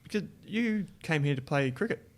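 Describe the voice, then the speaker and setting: A man's voice: fairly high pitch, animated, moderate pace. A man interviewing a guest, asking long conversational questions. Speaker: interviewer, podcast host. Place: Australia.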